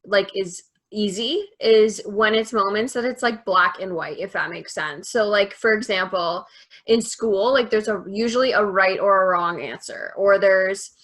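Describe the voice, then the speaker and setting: A young woman speaks as an interview guest, thinking out loud in a casual, reflective way and using "like" often. Her voice is bright and clear, with an average pitch. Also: unhurried. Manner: thoughtful, says "like" often.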